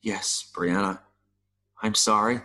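A man acting as a gentle, philosophical mayfly in an audio play, speaking earnestly and tenderly with wistful wonder. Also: fairly low pitch, fairly even intonation. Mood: resigned, tender. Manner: slow, earnest, tender delivery with buzzing.

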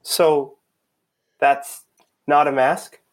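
A man's voice: a little high, flat delivery, extremely slow. A man acting in an audio play as a hapless, exasperated undercover cop, blunt and incredulous.

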